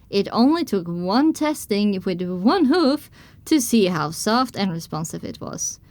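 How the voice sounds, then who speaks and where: A woman playing a pony mare who narrates her own adventure in measured, descriptive audiobook style. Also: medium pitch, no echo, lightly acted. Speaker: first-person narrator.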